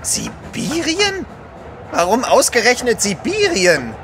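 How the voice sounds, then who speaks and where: An actor playing a nervous, excitable French manservant in a German audio drama. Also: male voice, very high-pitched, slow, over music, full character voice. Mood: alarmed. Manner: anxious, excitable.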